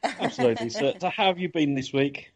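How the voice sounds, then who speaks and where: A man's voice: on the high side, very animated, brisk, a crowd in the background. A man hosting a casual radio chat show, talking with many ums and restarts. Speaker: podcast host. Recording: dull lo-fi audio.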